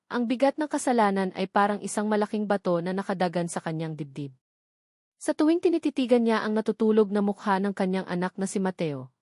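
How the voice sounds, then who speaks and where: A woman with a Filipino accent narrates a dramatic story from a script. Her voice sits at a middle pitch. The recording is dry and close, with little room sound, in the manner of an audiobook. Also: darkish tone, no echo.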